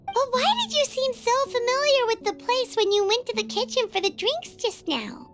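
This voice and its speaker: Squeaky, very high little fairy companion. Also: female voice, expressive, moderate pace. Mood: curious. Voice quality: squeaky, childlike. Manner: exclamatory, fussing, earnest. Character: tiny childlike companion fairy, cheerful and concerned. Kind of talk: video game voice acting. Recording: music behind.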